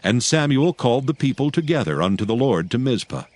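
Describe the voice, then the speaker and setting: A man narrating dramatized scripture as a solemn, measured storyteller. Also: medium pitch, big pitch swings, a little mellow, dry recording.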